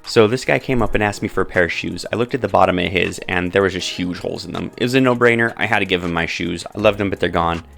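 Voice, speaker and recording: Gravelly low-voiced interviewer. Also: male voice, quick pace, hardly any pauses, a bit bright. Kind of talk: casual storytelling and chat.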